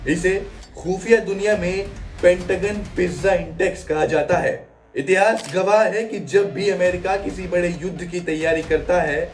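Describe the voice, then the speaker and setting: A man's voice: high-pitched, a little mellow, far from the mic. A man delivering a scripted news explainer in a dramatic manner, posing rhetorical questions. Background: background music.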